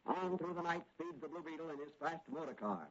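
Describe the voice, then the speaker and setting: An actor playing a dramatic, urgent announcer narrating a 1940s radio serial. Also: male voice, on the high side, animated, brisk. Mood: suspenseful. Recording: muffled old radio recording. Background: loud dramatic music.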